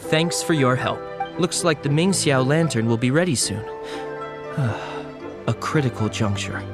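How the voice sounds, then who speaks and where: A man voicing a dutiful guard soldier in a video game, measured, official and reassuring. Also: medium pitch, lively intonation. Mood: calm, assured. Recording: game music behind.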